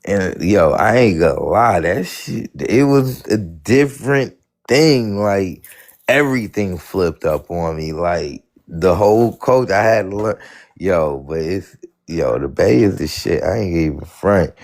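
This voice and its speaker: A man's voice: low voice, expressive, measured pace, a little mellow. An American man from Atlanta, born in New York, chatting casually in an emphatic, slangy way with some swearing.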